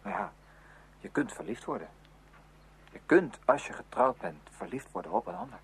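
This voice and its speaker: A man's voice: mid-range voice, big pitch swings, normal speed, vocal fry, slightly rough, full character voice. An actor playing a young man, a sceptical but loyal friend, in conversational Dutch radio play style with a questioning manner. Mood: wary, reproving. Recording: noisy old radio drama recording.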